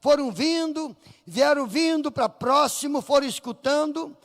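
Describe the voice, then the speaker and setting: A Brazilian pastor preaching with fervor, his voice strikingly high and gravelly, climbing to shouts. The sound carries a long echo from a church hall. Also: unhurried. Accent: Brazilian Portuguese. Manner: fervent preaching, rising to shouts, acting out voices in the story. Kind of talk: Pentecostal sermon. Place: church sanctuary.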